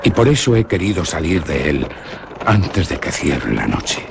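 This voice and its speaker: A man's voice: low-pitched, very animated, measured pace, creaky, exaggerated voice. An actor with a Spain Spanish accent playing an earnest, brooding young nobleman in a radio play, speaking solemnly and intimately, on a hissy old recording. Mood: foreboding. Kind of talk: 1950s-style radio drama acting.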